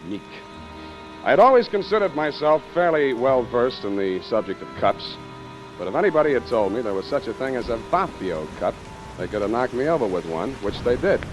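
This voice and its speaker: An actor playing a cool, sardonic private detective with dry, clipped wisecracks, in 1940s radio noir style. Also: male voice, medium pitch, big pitch swings, brisk, music in the background, natural voice, low-quality recording. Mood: wry narration.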